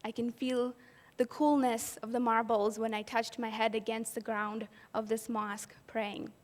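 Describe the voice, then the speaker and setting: A woman's voice: on the high side, little pitch movement, a little slow. A woman from Pakistan giving an earnest personal storytelling talk at an event, posing rhetorical questions to the audience. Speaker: event speaker. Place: event hall. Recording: long hall reverb.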